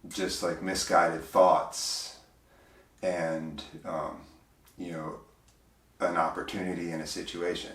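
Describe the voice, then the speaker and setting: A man with a big, deep voice, speaking as an interview guest in a reflective, hesitant way, full of fillers and restarts. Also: little pitch movement, takes it slowly, pauses often, fairly bright tone, far from the mic. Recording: roomy, voice from off mic.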